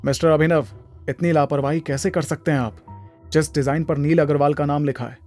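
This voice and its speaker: A man's voice: fairly high pitch, fairly even intonation, brisk, a little mellow, faint music behind, lightly acted. A man playing a protective, powerful husband and boss in an audio drama, stern and formal, with an angry edge. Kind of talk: Hindi audio drama acting.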